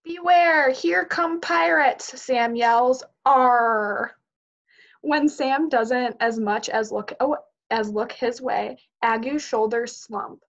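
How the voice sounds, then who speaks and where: A woman's voice: on the high side, slow pace, vocal fry. A young woman, a teacher, reading a picture book aloud to children over a video call. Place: indoors, on a group video call. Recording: dull, muffled video call audio.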